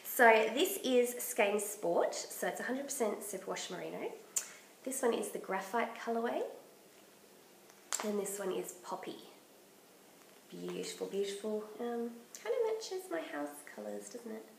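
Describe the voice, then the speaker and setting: A woman hosting a knitting podcast in casual chat, her voice croaky from bronchitis, chatty and enthusiastic. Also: a little high, very animated, a little slow, darkish tone, echoey room, slight background noise, rough recording. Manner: chatty and enthusiastic, laughs often.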